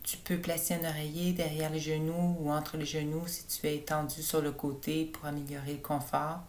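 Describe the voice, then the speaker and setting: A woman with a low voice leads a guided relaxation for sleep. She gives her instructions slowly and soothingly, like a calm yoga teacher. A faint low rumble sits behind her. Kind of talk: guided meditation narration for sleep.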